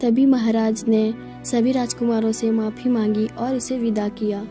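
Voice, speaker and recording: A woman reading scripted storybook narration for an animated moral tale. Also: fairly high pitch, unhurried, soft background music.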